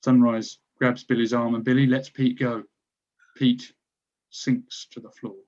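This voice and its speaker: A man's voice: medium pitch, flat delivery, a little slow, a little mellow, lo-fi sound. A man reading stage directions as scripted narration for a screenplay table read.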